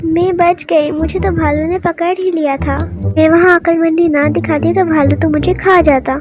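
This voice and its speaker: A woman's voice: very high voice, fairly even intonation, moderate pace. A woman voicing a clever young girl with a childlike, coaxing manner in a children's cartoon. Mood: relieved. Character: clever young girl tricking her captor. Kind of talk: children's cartoon story dub. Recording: dull sound with music bed.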